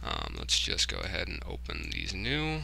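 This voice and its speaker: Casual male tutorial host, low-pitched with a very crisp, forward edge, informal and muttering through each step. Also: wildly swooping pitch, moderate pace, pushed and bright, slight background noise. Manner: informal, muttering to himself while working. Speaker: tutorial host, hobbyist game developer. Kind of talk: casual screen-recorded software tutorial, thinking aloud.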